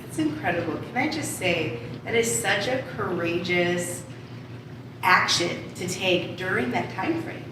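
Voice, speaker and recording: A woman conducting an oral history interview in an encouraging, affirming manner. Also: fairly low pitch. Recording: very echoey, distant, noisy room.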